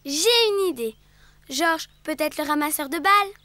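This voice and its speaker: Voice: high voice, animated, normal speed, pushed and bright, exaggerated voice. A performer voicing a playful, argumentative young animal child in a French-dubbed preschool cartoon, exclamatory and childlike. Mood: eager. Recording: cartoon music behind.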